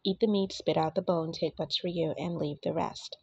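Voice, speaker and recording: Raspy-voiced woman preaching, low and gravelly. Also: steady tone, moderate pace, dark tone, no room sound, muffled recording. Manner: earnest, direct, uses casual slang. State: under the weather, a bit raspy. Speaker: prophetic minister. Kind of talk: casual prophetic message.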